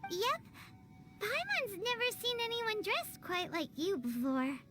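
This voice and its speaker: A woman voicing a tiny, chirpy, childlike fairy girl companion in a video game, squeaky and blunt. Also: very animated, a little slow, sharp tone, soft background music, heavily acted. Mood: chatty. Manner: refers to self in third person, blunt. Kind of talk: video game character acting.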